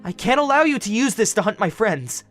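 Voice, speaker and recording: A woman playing a gentle, sheltered young forest man, shy and earnest, in an audio drama. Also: medium pitch, big pitch swings, brisk, faint music behind, close to an everyday voice. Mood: earnest, protective. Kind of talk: fan audio drama acting.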